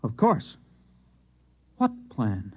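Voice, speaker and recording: Unstable, naive husband claiming to be Martian: husky, intense, insistent, dull old radio-drama sound. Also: male voice, mid-range voice, wildly swooping pitch, extremely slow, exaggerated voice. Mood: bewildered. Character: earnest, unstable husband who believes he is Martian. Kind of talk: golden-age radio drama acting. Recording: dull vintage radio broadcast.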